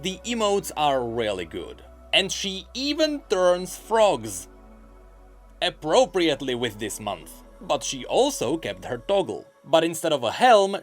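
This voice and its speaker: A man hosting a scripted gaming news show, narrating with wry, dryly sarcastic asides. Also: a little high. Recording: music behind the voice. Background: background music.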